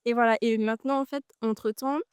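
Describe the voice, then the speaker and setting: Relaxed young woman, smooth voice. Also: a little high, a little fast, no echo, clean studio sound. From French Guiana. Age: 20s. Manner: relaxed, hesitant, many fillers. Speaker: guest, medical student. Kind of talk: casual interview. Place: outdoors on a forest trail.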